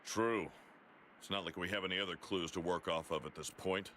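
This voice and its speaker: An actor playing a stern, level-headed warrior with measured delivery in an English anime game dub. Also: male voice, deep voice, fairly even intonation, a little hiss or hum. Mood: grave, measured. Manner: measured, serious. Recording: loud game music behind.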